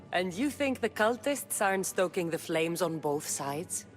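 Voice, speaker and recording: A woman's voice: on the low side, expressive, takes it slowly, faint music behind. A woman playing a bold, wry young Greek mercenary in dramatic video game dialogue. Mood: wry, persuasive.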